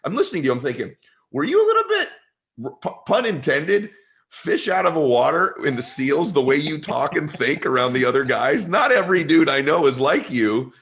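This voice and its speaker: A middle-aged man with a deep voice hosting a podcast interview, talking quickly and emphatically and often turning to the listeners. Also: very animated, fairly bright tone, slightly rough. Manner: fast-talking, emphatic, addresses listeners as "guys". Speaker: podcast host. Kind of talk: conversational interview.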